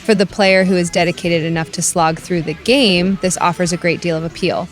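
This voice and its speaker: Lowish woman doing a scripted game review, dry n wry, kinda critical, music playing behind her. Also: fairly even intonation, a little fast.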